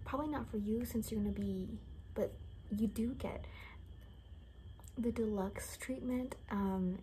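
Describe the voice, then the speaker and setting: A young woman talks in a hushed, breathy whisper with a mellow, relaxed tone. She rambles casually through an ASMR roleplay, a little sardonic in her delivery. Faint room noise sits behind her voice. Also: medium pitch, a little slow, poor audio. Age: young adult. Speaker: ASMR creator playing a caretaker. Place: small home bedroom, indoors.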